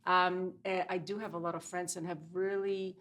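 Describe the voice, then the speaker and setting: A woman's voice: on the low side, flat delivery, moderate pace, fairly bright tone. Polish-born woman in her sixties. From Poland. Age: 60s. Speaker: guest dermatologist. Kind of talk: casual interview chat.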